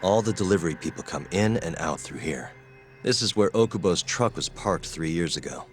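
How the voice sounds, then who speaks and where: A man's voice: sits low, lively intonation, moderate pace, music in the background. A man playing a cool, confident investigator in a video game drama, laid-back and wry. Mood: musing. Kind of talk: English-dubbed video game drama acting.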